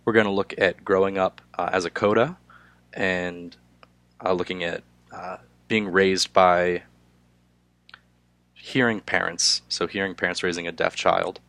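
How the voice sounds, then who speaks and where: Young male student, hoarse with a cold, very low voice, halting delivery, dry room. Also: fairly even intonation, fairly bright tone, dry recording. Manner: halting, many filler "uh"s. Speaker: student presenter. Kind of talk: class presentation.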